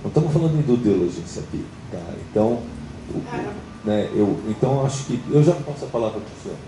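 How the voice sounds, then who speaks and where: A man with a Brazilian Portuguese accent chairing a creditors' meeting, speaking in measured, hedging formal remarks and often checking in with "tá?". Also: mid-range voice, expressive. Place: meeting room. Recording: roomy, distant mic, noisy.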